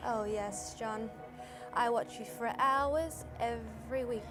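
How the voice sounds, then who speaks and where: A woman's voice: fairly high pitch, takes it slowly, music in the background. A woman acting a sweet, starstruck yet bloodthirsty cult member in a scripted comedy. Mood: sweetly fawning. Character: sweet, starstruck, bloodthirsty female cultist fan.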